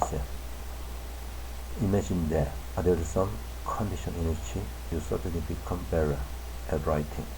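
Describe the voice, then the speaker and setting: A man whose first language is Korean reading an English news article aloud slowly and carefully, sometimes restarting a tricky word. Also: deep voice, background noise.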